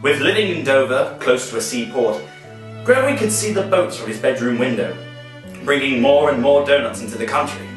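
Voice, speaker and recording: A man tells a comic story with playful, mock-formal flair. His pitch swings in a lively way. The sound is heavily echoed and set back from the mic, with music underneath. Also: fairly quick. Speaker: storybook reader. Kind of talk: scripted comic storytelling with asides. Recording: echoey, distant voice with music behind.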